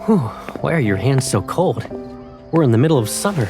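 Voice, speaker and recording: A man voicing an affectionate, protective young man who teases gently, in a fan dub of a romance game. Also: medium pitch, very animated, fairly quick, over music. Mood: caring, concerned.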